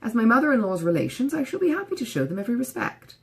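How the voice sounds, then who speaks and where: A self-satisfied gentleman from a period novel , played by a woman narrator, gushing and fussily polite. The delivery is pompous and effusive, with pitch that swoops about freely. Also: wildly swooping pitch, rapid-fire, slight background noise. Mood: breezy, self-important. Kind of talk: audiobook character dialogue.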